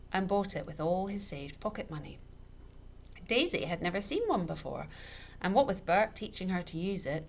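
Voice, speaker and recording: Lower-pitched woman reading a children's story. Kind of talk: scripted expressive narration. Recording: noticeable background noise.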